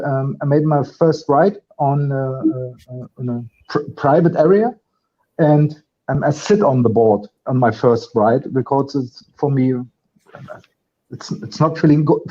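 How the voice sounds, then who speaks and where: A man from Germany, a native German speaker, talking in halting English, often repeating words as he searches for them. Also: mid-range voice, warm tone. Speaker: guest rider. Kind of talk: casual chat in non-native English. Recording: dull lo-fi stream audio.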